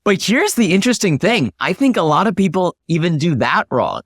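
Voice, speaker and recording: A man with a somewhat high-pitched voice talks as a guest in an interview. He explains things in an instructive, emphatic way. The sound is very dry, with no room echo. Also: very animated, fairly quick. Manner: emphatic, instructive, illustrates points with acted-out example questions. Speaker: interview guest, startup founder.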